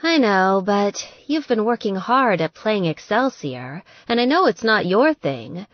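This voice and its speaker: A woman's voice: medium pitch, big pitch swings, a little slow, no room sound, highs cut off. An eager, good-natured young girlfriend, acted by a woman narrator in an audiobook. She is chatty and earnest, making fun of herself, in a very dry, close sound. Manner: earnest, chatty, self-deprecating. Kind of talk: audiobook character dialogue.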